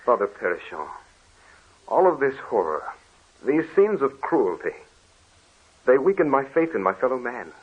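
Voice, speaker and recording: An actor playing a sensitive, troubled young Dutch student who speaks earnestly, in 1940s radio drama style. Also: male voice, mid-range voice, sing-song, normal speed, long pauses, breathy edge. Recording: dull old radio recording.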